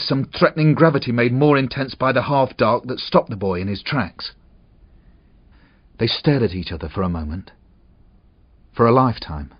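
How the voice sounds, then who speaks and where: Husky man reading suspense fiction expressively. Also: medium pitch, no echo. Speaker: audiobook narrator. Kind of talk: dramatic short story reading. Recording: dull, muffled audio.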